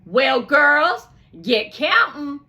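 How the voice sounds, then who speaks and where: A woman reading aloud, voicing a warm, motherly woman who cheers on a group of girls. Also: high voice, big pitch swings, extremely slow, lo-fi sound, voice pushed up, heavily acted. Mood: encouraging.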